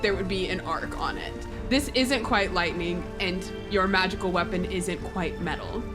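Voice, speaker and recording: A woman narrating a tabletop roleplaying game as a player, describing things in a thoughtful, measured way. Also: on the low side, loud music behind the voice. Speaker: player running a creature.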